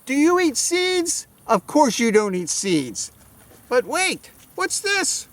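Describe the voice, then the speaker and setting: A man playing an excitable farmer who teaches children about the garden, asking questions and explaining. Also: very high voice, takes it slowly, heavily acted. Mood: playful, instructive. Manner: animated explaining, asks questions. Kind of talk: children's educational segment.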